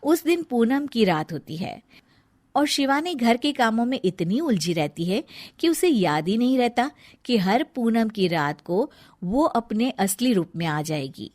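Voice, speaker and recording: A woman narrating a cartoon story with a calm, scripted delivery. Her voice sits a little high and moves a lot, and the recording is very dry and close. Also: unhurried, dry recording.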